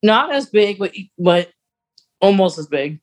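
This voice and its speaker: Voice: mid-range voice, sing-song, unhurried. Casual podcast co-host with a middle-range voice. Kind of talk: casual chat about fan news.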